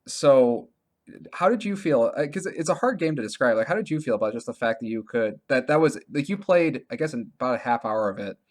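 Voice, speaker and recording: A man co-hosting a podcast, talking casually about video games in a halting way, often restarting his sentences and leaning on filler words. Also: mid-range voice, animated. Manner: halting, self-correcting, heavy use of "like". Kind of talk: casual conversational game review chat.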